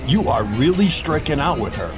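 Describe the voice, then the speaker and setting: A man's voice: on the high side, sing-song, moderate pace. A man playing an airline passenger who teases his seatmate and grows anxious in turbulence. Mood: chiding. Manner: chiding, anxious. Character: nervous, teasing male airline passenger. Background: music.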